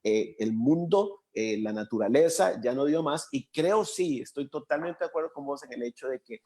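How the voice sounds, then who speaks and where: A man with a Costa Rican accent hosts a conversational interview. He thinks aloud, pausing and restarting as he searches for words. His voice sits at an ordinary middle pitch. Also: expressive, mellow. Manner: reflective, halting, searches for words. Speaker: interview host.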